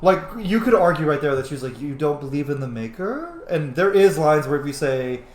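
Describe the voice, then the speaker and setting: Brief: young male streamer, lively and profane; roomy mic, light hiss. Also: fairly high pitch, a little fast. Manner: animated, swearing, reacting. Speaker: roleplay game streamer. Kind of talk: casual game commentary. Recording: roomy room with faint noise.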